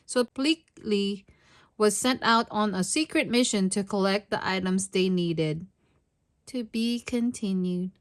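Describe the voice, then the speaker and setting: Female storytime narrator, unhurried, expressive, speaking straight to young kids. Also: medium pitch. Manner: expressive, addressing young children. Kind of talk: children's read-aloud narration.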